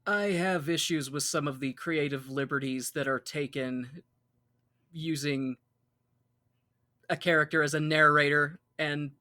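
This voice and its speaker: A man's voice: on the high side, fairly even intonation, unhurried, long pauses, bright, forceful tone. An American man co-hosting a casual podcast, often breaking off and rewording as he goes. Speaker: podcast co-host.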